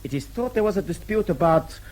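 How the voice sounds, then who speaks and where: An actor playing a grave, courteous German man in a radio drama, speaking formally and deliberately with a German accent. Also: high voice, expressive, a little mellow, poor audio. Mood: apologetic, anxious. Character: courteous, principled German man, grave confidant or consular clerk.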